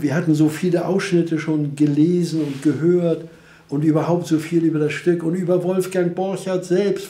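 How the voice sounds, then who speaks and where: An older man speaks about his memories with heated indignation, his voice slightly high yet dark and mellow. The living room around him adds a noticeable roomy echo. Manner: impassioned, indignant storytelling. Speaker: interviewee recalling wartime. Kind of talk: personal memory interview.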